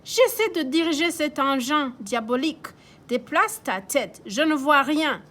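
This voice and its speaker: A woman with a Québécois accent voicing a grumpy old grandfather who shouts while steering a toboggan in a children's storybook reading. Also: high-pitched, expressive, measured pace, pitched above the performer's own voice. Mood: shouting. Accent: Québécois French. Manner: shouting, exasperated.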